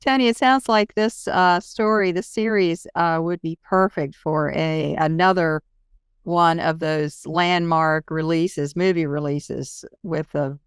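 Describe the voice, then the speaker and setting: A woman hosts a casual interview in a slightly low voice. Her tone is extremely clear and smooth, and the recording is dry with no audible room. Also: measured pace, no echo. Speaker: interview host.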